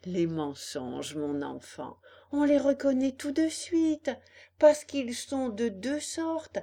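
Woman as a slow, mellow, gentle fairy. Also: high-pitched, animated, vocal fry, voice pushed up, full character voice. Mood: gently chiding. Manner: patient, tender, measured. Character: kind, gently chiding young female fairy.

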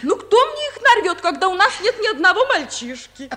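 An actress playing a carefree, playful 18-year-old village girl in excitable, pleading 1950s radio comedy style. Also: very high-pitched, fairly quick, exaggerated voice. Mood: pleading, dreamy. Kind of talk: Soviet 1950s radio musical comedy acting. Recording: 1950s Soviet radio recording.

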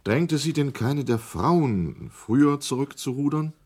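A sceptical chairman presiding over a hearing in a documentary radio play. His mid-pitched voice is husky, with wide rises and falls. He speaks formally and sternly, challenging with an air of authority. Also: expressive, measured pace, a little mellow. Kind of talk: German radio documentary drama acting.

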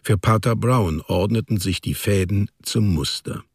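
A man's voice: fairly low pitch, measured pace, slightly rough, dry recording, studio quality. A man reading literary narration as the storyteller of a radio drama.

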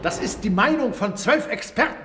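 An actor playing a haughty condemned aristocrat in a radio drama, scornful and gravelly, stammering when riled. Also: male voice, sits high, lively intonation, moderate pace, roomy sound, heavy background noise. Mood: mocking. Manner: scornful, mocking laughter. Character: condemned aristocrat, older man, haughty and argumentative. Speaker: prisoner led to execution.

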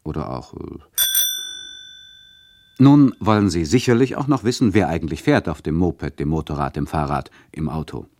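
An actor playing a wry, deadpan narrator who explains a radio play in a mock-instructive manner. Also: male voice, extremely low pitch, normal speed, no echo.

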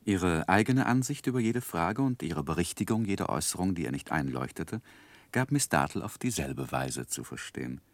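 A reflective narrator in an old German radio play. His voice is deep, with wide, expressive intonation, recorded dry. He tells the story in measured, literary sentences. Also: no room sound. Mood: observant. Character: David Copperfield as reflective adult narrator.

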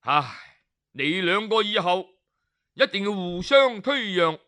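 A man voicing a deep, sighing old sage. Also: sing-song, pushed and bright, full character voice. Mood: grave, sorrowful. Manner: heavy sighing, slow grave counsel. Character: old male hermit sage, sorrowful and wise.

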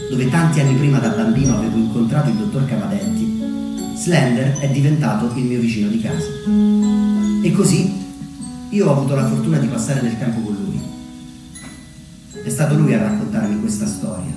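A man from Umbria in Italy performing a reflective theatrical monologue as a solo storyteller, measured and pausing for weight. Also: medium pitch, expressive. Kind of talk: theatrical monologue, narrated memoir. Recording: very echoey, distant mic, music underneath.

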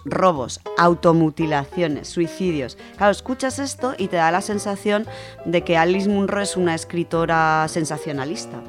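A woman with an accent from Spain, speaking enthusiastically as a radio contributor in a conversational book segment. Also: sits low. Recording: music under the voice. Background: background music.

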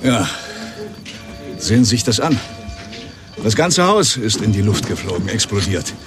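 A seasoned fire chief in a radio drama, explains things gravely in clipped, emphatic phrases. His lowish voice moves around a lot in pitch, with music and effects behind it. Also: very animated, unhurried. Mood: grave, explaining. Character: seasoned, matter-of-fact male fire chief. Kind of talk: German radio drama acting.

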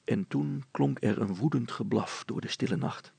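A man's voice: mid-range voice, normal speed, gravelly, mellow, slightly rough, dry recording. A man narrating a children's story as a storyteller.

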